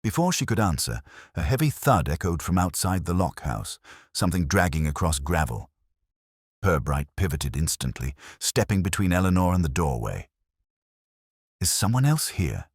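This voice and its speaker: A man narrates a scripted detective story in a dramatic style. His voice is extremely low with animated rises and falls, recorded dry and close. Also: normal speed, slightly rough, no room sound.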